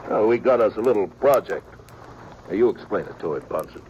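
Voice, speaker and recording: An actor playing a rough, gleeful frontier outlaw who talks in a pushy western drawl. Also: male voice, fairly low pitch, animated, brisk, a little mellow, close to an everyday voice. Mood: bossy. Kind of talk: 1950s radio drama acting. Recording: hissy, dull old radio recording.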